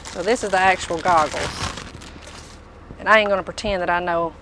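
A woman's voice: on the low side, fairly even intonation, fairly quick, noisy background. A woman, casually showing products as a tool dealer inside a packed truck. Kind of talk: casual product walk-through chat.

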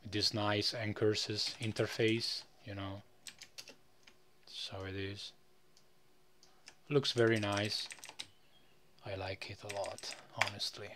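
A man presenting a casual, unscripted software tutorial in a rambling way, often tagging phrases with "you know". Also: lowish voice, level tone, slow, long pauses, slight background noise.

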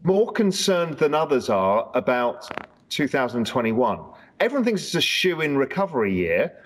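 A man's voice: mid-range voice, very animated, moderate pace, slight background noise. A man in his fifties hosting an interview over a video call from a large room at home, talking in a rambling, conversational way. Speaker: host. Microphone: video call webcam.

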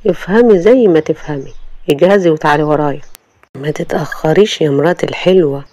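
A narrator playing a cold, domineering Egyptian husband. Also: male voice, on the high side, fast talker, dark tone, close to an everyday voice. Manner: cold, commanding, teasing. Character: arrogant rich husband, domineering and mocking.

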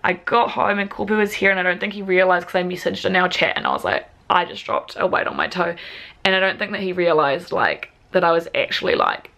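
A young woman in her twenties with a raspy voice, chatting casually to a camera held at arm's length, full of "like" fillers. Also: fairly low pitch, animated, a little fast, fairly bright tone. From New Zealand. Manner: chatty, filler-heavy with frequent "like". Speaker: vlogger. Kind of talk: casual vlog talk to camera. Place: apartment living room and parked car.

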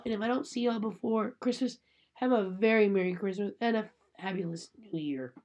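A woman's voice: mid-range voice, darkish tone. A woman, sick with a cold and sounding nasal, chatting casually to a webcam in a small room. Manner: chatty, apologetic. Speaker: unboxing vlogger. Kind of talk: casual chat to camera. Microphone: webcam at desk.

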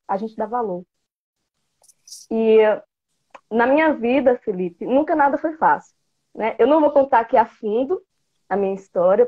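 A woman's voice: fairly high pitch, breathy edge, phone-quality sound. A woman in her thirties from interior Bahia with a Bahian Brazilian Portuguese accent, chatting playfully as a guest over a video call on an earphone mic. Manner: chatty, playful, gestures a lot. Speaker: guest, biology teacher. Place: small room indoors. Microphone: earphone headset mic on video call.

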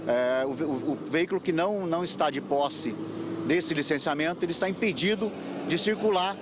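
Official outdoors with traffic. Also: male voice, fairly high pitch. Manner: formal, halting, with restarts. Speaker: tax official. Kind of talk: street interview. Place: roadside outdoors. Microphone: handheld reporter mic. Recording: street noise, passing cars.

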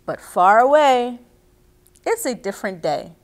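A middle-aged woman reading and explaining to children as a story time host, expressive and speaking directly to her young listeners. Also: a little high, unhurried. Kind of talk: children's read-aloud and instruction. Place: small indoor reading nook with bookshelves.

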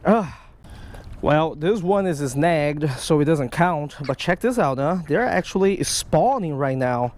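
Man, excitable, casual fishing commentary, lively swooping voice, fairly high, outdoors, action-camera mic. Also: measured pace. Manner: excitable, talks to the fish and viewers. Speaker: fishing vlogger. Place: outdoors by a creek under a stone bridge. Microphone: chest-mounted action camera.

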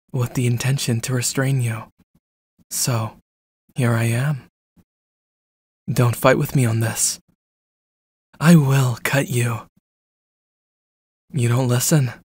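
A cold, possessive stalker, acted by a man in an ASMR roleplay. He speaks slowly and deliberately in clipped threats. The voice is slightly husky, mid-pitched and recorded close and dry. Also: fairly even intonation, darkish tone, no room sound. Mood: cold, menacing. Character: jealous, controlling, menacing young male stalker.

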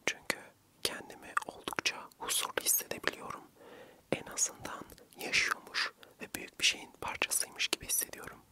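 A young man whispering gently and soothingly in a breathy voice, narrating an ASMR vlog close to the mic. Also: moderate pace. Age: young adult. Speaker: ASMR vlogger.

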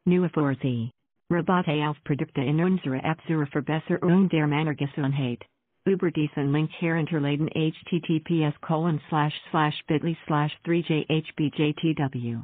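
A man's voice: a little high, steady tone, moderate pace, no echo. A man's voice reading out an automated message in a scripted way. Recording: muffled phone line.

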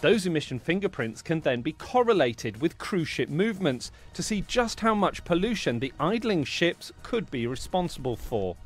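A man narrating a news report as the reporter, inquisitive and lively. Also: a little high, normal speed, few pauses, faint music behind.

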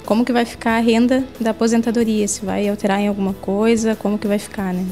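A woman's voice: medium pitch, level tone, quick pace, dark tone, faint music behind. A woman with a Brazilian accent, a social security coordinator, politely greeting and answering in a TV interview. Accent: Brazilian Portuguese. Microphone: handheld mic.

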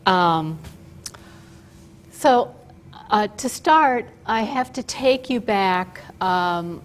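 An older woman giving a chatty, story-driven talk at a podium, telling it with fillers and asides in a loud voice. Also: medium pitch, expressive, very slow. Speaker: guest speaker. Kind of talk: lecture with slides. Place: auditorium stage at a podium. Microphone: podium mic.

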